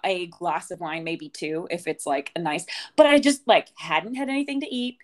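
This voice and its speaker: A young woman co-hosting a casual podcast, her voice raspy and ragged from a hangover, rambling and laughing at herself. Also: fairly low pitch, normal speed. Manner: rambling, laughing, self-mocking. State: hungover and tired. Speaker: podcast co-host, wedding singer. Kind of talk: casual chat.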